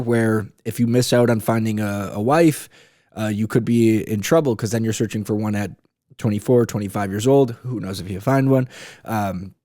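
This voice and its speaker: A man's voice: mid-range voice, dark tone, dry recording, studio quality. A man in his late twenties talking casually to camera about his experiences, rambling a bit with fillers and restarts. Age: 20s. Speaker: vlogger, army reservist.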